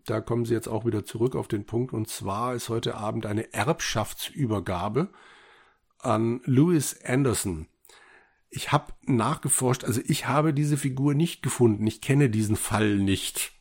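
Guy, husky mid voice, podcast co-host casually retelling an audio drama, acts out bits of dialogue. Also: medium pitch, unhurried. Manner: acts out quoted lines while retelling.

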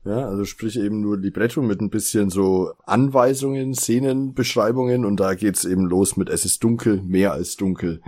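A man's voice: on the low side, steady tone, moderate pace, hardly any pauses, dark tone. A man co-hosting a podcast in casual, teasing conversation, often chuckling. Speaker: podcast co-host.

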